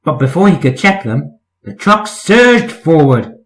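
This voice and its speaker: Male storyteller, fairly high voice, very lively intonation, scripted children's narration. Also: unhurried.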